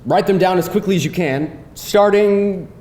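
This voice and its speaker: A man playing a pushy, glib boyfriend of about thirty at a live screenplay table read, with an animated, wheedling delivery. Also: moderate pace, close to an everyday voice. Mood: persuasive. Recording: roomy live hall, faint rumbling noise.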